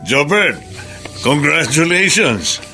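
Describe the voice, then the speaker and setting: A man's voice: on the high side, big pitch swings, takes it slowly, bright, forceful tone, soft background music, exaggerated voice. A man with a Filipino accent playing a kindly, wise mentor who gives fatherly counsel in a radio drama. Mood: proud, advising. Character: kindly, wise male mentor to a young prisoner.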